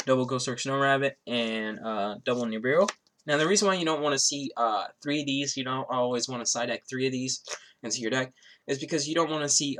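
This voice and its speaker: A man's voice: medium pitch, bright, forceful tone, slight background noise. A man who is a little sick, with a stuffy nose and sore throat, casually walking through a card game deck, rambling with lots of fillers. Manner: rambling, filler-heavy, restarts often.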